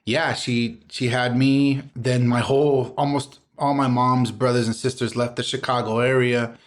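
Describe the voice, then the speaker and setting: A middle-aged man from Southern California with a voice of ordinary pitch. He recites spoken-word poetry in a rhythmic cadence, then shifts to easy, reflective conversation. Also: little pitch movement, unhurried. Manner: rhythmic spoken-word delivery, mixing in Spanish words. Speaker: poet and guest.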